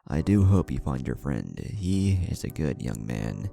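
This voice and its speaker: A man voicing a weary, kindly old village chief in a storybook narration, hesitant and measured, over music. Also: a bass voice, very animated, vocal fry, warm tone, full character voice. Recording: music under the voice.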